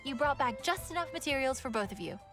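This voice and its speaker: Lively, high-voiced young festival organizer. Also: female voice, fast, faint music behind. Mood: pleased, welcoming. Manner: polite, explanatory, animated. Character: friendly, businesslike young woman organizing festival lantern building. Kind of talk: anime video game voice acting.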